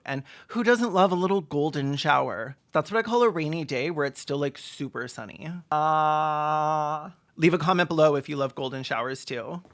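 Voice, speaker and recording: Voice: medium pitch, fairly bright tone. A video essayist giving sarcastic, mocking comedic commentary on a film in a campy way.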